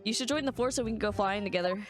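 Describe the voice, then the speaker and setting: A woman's voice: medium pitch, natural voice. Brash, slangy young woman inspector, read by a woman streamer, game score underneath. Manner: slangy, brash, quick-talking. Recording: game music behind.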